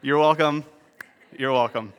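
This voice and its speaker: A man's voice: medium pitch, unhurried, clean tone, in a large hall. A man preaching a sermon, explaining a word's meaning with nerdy, self-deprecating asides. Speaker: pastor.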